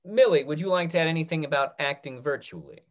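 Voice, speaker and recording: A man around his thirties moderating a casual question-and-answer session on a video call, restarting phrases in a halting way. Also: a little high. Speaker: moderator of a panel discussion. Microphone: video call computer microphone.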